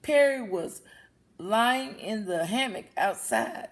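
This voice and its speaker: A woman's voice: mid-range voice, animated, takes it slowly. A woman reading a children's story aloud expressively, as a storyteller for young listeners. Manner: explains words with questions to young listeners.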